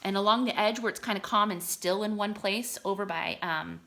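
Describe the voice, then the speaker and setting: A woman reading aloud to children like a teacher, enunciating deliberately and explaining words simply as she goes. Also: medium pitch, creaky, a bit bright.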